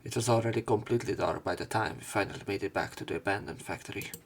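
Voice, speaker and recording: A man reading visual novel narration and dialogue aloud as a let's player, casual and a little halting. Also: lowish voice, slight background noise.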